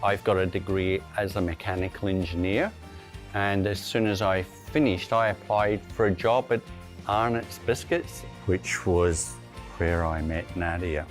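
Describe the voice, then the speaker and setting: A man's voice: sits low, steady tone, unhurried. A man from Scotland, a company co-founder, telling the company's story in an interview. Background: background music.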